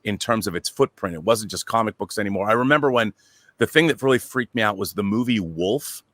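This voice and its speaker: A man's voice: on the low side, animated, fairly quick, fairly bright tone, no room sound. A middle-aged man talking casually as a panel guest, telling detailed stories with enthusiasm. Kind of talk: casual anecdotal talk.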